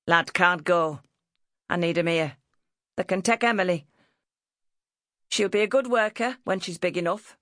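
A woman's voice: fairly low pitch, measured pace, pauses often, a bit bright, no echo, clean studio sound. No-nonsense mother played by a woman narrator, curt, decisive and unsentimental, for an audiobook saga. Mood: firm, brisk. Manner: curt, firm, matter-of-fact. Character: brisk, hard-willed Northern mother, unsentimental and decisive.

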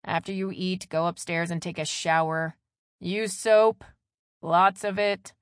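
A woman's voice: lowish voice, steady tone, unhurried, clean tone, no echo, studio quality, close to an everyday voice. A woman voicing a tired, no-nonsense mother in an audiobook, firm, wry and matter-of-fact. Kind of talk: audiobook character dialogue.

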